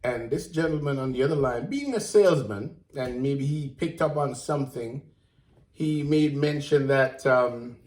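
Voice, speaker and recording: A man's voice: mid-range voice, measured pace, a little mellow, echoey room. A man with a Jamaican accent, co-hosting in playful banter, teasing and mock-serious, slipping into patois. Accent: Jamaican, switching into patois. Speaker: co-host.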